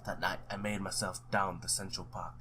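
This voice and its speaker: A man's voice: low voice, steady tone, fairly quick, sharp tone, a little hiss or hum. A man reading the part of a wry, hard-boiled ex-cop detective in a noir visual novel. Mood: brooding narration.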